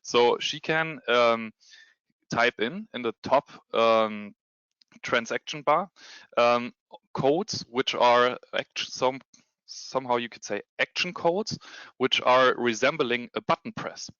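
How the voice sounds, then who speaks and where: A man's voice: medium pitch, slow pace, bright, forceful tone, smooth, dry recording, lo-fi sound. A man with a German accent giving a webinar presentation with screen share, hesitant, with many ums and restarts. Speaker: security consultant presenting a demo.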